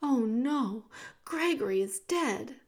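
Woman voicing a small hushed, sorrowful mouse. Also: fairly high pitch, expressive, slow. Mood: dismayed. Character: small mouse, horrified and despairing.

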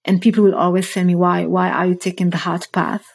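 A woman's voice: fairly low pitch, little pitch movement, brisk, vocal fry, darkish tone, husky. A woman in her thirties from Morocco, telling her story as a podcast guest in an earnest, reflective way. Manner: earnest, reflective, frequent restarts. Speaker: guest, startup founder.

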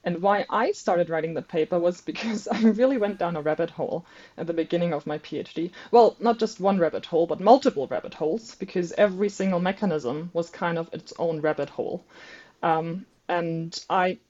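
A woman with a German accent, a native German speaker, chats as a guest researcher. Her voice is fairly low and mellow. She is good-humoured and self-deprecating in casual interview talk, on slightly muffled audio. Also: fairly quick. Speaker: podcast guest, researcher and PhD candidate.

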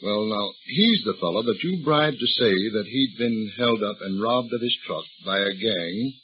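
A man's voice: on the low side, normal speed, lightly acted. A man playing a folksy, drawling old detective. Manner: drawling, folksy, calmly needling. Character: shrewd folksy older male police detective. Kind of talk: 1930s radio drama acting. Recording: dull old radio recording with faint hiss.

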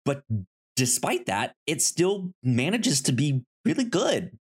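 A podcast co-host chatting casually about movies in a halting way, often repeating sounds and the starts of words. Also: medium pitch, very animated, husky.

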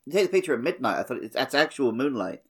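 A man's voice: medium pitch, sing-song, quick pace, husky. A man co-hosting a podcast, talking casually about a video game with friends. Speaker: podcast co-host.

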